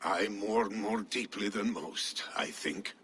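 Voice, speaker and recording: An actor playing a grieving Viking steward who speaks quietly and wearily in a game cutscene. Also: male voice, on the low side, takes it slowly, a little hiss or hum. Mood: mournful. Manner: weary, mournful, quiet.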